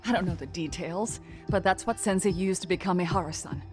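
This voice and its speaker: A woman's voice: on the low side, a little fast, soft background music, natural voice. A woman playing a sullen, flippant young accomplice in an English-dubbed crime game, with a dismissive, reluctant manner. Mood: smug, flippant.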